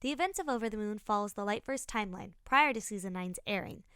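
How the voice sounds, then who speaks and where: A woman's voice: medium pitch, expressive, fast, sharp tone, clean tone, no room sound, clean studio sound. A woman reading a scripted announcement as an announcer.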